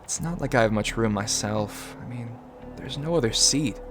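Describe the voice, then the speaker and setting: A man's voice: mid-range voice, moderate pace, music in the background, lightly acted. A man playing an anxious, distrustful female rebel mech pilot in an audio drama, hesitant and rambling. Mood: flustered.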